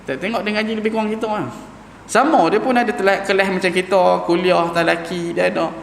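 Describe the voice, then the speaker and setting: A man leads a Quran recitation lesson, with a slightly high, gravelly voice. He talks informally and stretches out Arabic sounds slowly when he demonstrates them. His voice rings through a large mosque hall with a long, echoing reverb and a faint hum behind it. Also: steady tone. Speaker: Quran teacher.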